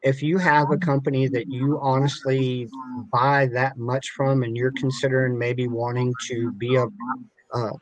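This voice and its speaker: A man's voice: mid-range voice, flat delivery, normal speed, noisy background. Earnest man giving practical advice. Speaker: podcast guest, club organiser.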